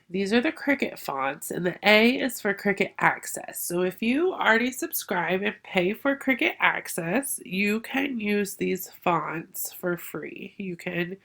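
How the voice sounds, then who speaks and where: A middle-aged woman hosting a craft tutorial, explaining software step by step in a friendly way, sounding congested from a sinus infection. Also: on the low side, vocal fry. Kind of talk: screen-share software tutorial.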